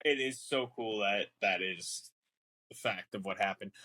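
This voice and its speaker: A man co-hosting a casual film podcast, explaining things in a halting way with repeated words. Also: on the low side, bright, forceful tone, no echo. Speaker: podcast co-host. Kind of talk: casual film discussion chat.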